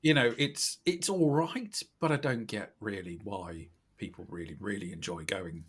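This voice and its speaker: A British man with a slightly scratchy, croaky voice, chatting casually as a podcast guest in a wry, self-deprecating, animated way. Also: on the low side, normal speed. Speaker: podcast guest, recruitment company director. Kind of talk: casual chat.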